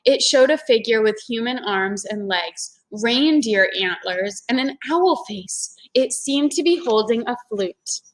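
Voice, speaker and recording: A woman's voice: fairly high pitch, animated, measured pace, hardly any pauses, poor audio. A woman reading a children's story aloud in a teacherly way, explaining things to young listeners. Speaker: teacher-like read-aloud reader.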